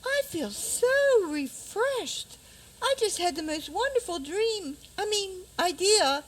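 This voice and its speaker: A woman's voice: very high-pitched, animated, takes it slowly, exaggerated voice, poor audio. A woman acting a dreamy yet bold fairy-tale princess in a community radio play, with a theatrical delivery. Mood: dreamy, excited.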